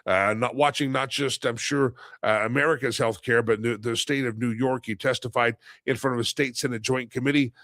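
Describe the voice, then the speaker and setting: A male talk show host rambles through his live stream, slightly nasal from a head cold, dropping fillers as he goes. His voice sits at an ordinary pitch and sounds close and dry, with almost no room around it. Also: fairly even intonation, a little fast, hardly any pauses, fairly bright tone, no echo.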